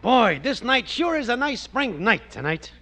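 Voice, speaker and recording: Casting: chummy neighbor, very high animated voice, old-time radio comedy. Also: male voice, moderate pace, exaggerated voice. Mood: jaunty. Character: chummy working-class male neighbor. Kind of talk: 1940s radio sitcom acting.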